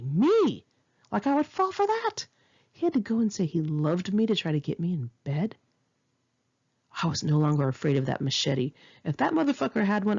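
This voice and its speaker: A woman's voice: on the low side, mellow, slightly rough, muffled recording. A woman narrating her own first-person memoir like an audiobook, with dramatic, sarcastic and indignant storytelling. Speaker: author reading own memoir aloud.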